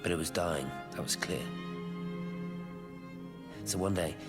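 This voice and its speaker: An actor with an English accent playing a troubled, guilt-ridden scientist in a dramatic game, in a naturalistic, understated way. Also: male voice, deep voice, takes it slowly. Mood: somber, reflective. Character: troubled British scientist, village-born man, guilt-ridden and defensive. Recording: music laid over the voice.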